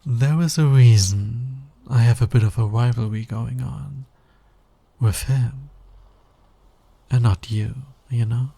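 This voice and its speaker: Male actor as cocky fantasy villain, slow and gravelly, smug, teasing, flirtatious, intimate ASMR roleplay. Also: mid-range voice, mellow, no room sound, exaggerated voice. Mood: smug, confiding. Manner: smug, playful, drawling, teasing.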